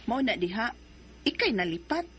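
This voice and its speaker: A woman playing a high-voiced young mother. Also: big pitch swings, fairly bright tone, slight background noise. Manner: earnest, quick to defend herself. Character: struggling young mother, defensive. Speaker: narrator and lead. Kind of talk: radio drama acting.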